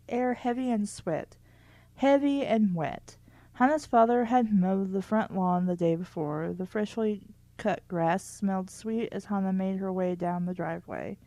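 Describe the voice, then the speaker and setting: A woman reads a story aloud at a middling pitch in a dry, close recording. Her style is casual, and she breaks into wry, candid commentary as she goes. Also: mellow, no room sound. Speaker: host reading a children's book aloud and commenting.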